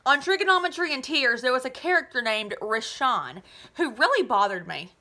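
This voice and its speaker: High, bright North American woman reading aloud. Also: big pitch swings, moderate pace. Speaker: teacher reading aloud to students. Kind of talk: expressive children's novel read-aloud. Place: small living room at home, indoors.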